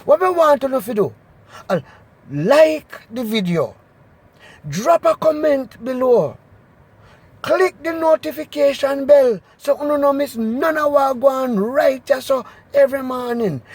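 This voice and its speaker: High-pitched Jamaican man reading scripture. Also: darkish tone, breathy edge. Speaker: Bible reader. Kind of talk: expressive scripture reading in Patois.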